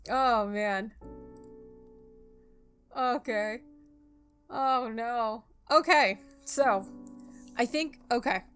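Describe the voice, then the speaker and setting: A woman's voice: fairly high pitch, drawn-out words, pauses often. Woman playing a game over music. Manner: informal, expressive, thinking aloud. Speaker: gaming video host. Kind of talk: visual novel playthrough, reading aloud and commenting.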